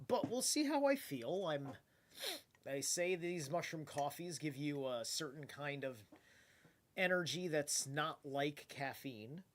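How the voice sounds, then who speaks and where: Slow, stuffy-nosed man rambling on stream. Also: on the high side, animated. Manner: rambling and loose, with casual swearing. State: stuffy, congested nose; tired. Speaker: live streamer. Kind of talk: casual late-night stream chat.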